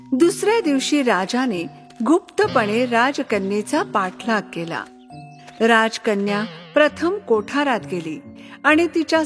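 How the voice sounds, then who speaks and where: A woman narrator reading a scripted fairy tale for children. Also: on the high side.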